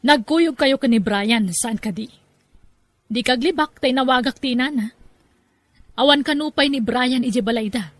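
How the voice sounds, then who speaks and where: A woman's voice: sits high, moderate pace, pauses often, no echo, close to an everyday voice. A woman voicing a scolding stern mother. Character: strict scolding mother of a teenage girl. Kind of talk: amateur audio drama acting.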